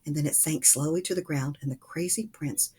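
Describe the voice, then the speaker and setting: A woman reading a children's book aloud as an expressive narrator. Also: low-pitched, fairly quick, breathy edge. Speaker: storybook reader.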